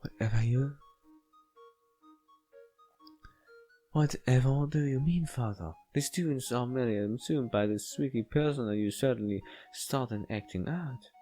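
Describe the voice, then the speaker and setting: A man's voice: mid-range voice, normal speed, few pauses, mellow, soft background music, natural voice. A man voicing a scheming, playfully formal schoolgirl in a visual novel read-aloud, with theatrical phrasing. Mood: feigned innocence.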